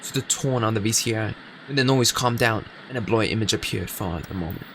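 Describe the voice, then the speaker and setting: Gravelly-voiced man reading a game aloud. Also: on the low side, quick pace, fairly bright tone, a little hiss or hum. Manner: reads game text aloud, rambling with fillers. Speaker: gaming YouTuber. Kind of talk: game playthrough narration and chat.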